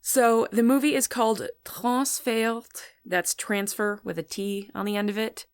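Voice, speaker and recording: A woman's voice: on the high side, steady tone, measured pace, smooth. A woman hosting a solo podcast, talking casually in a rambling, chatty way about what she watches. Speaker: podcast host.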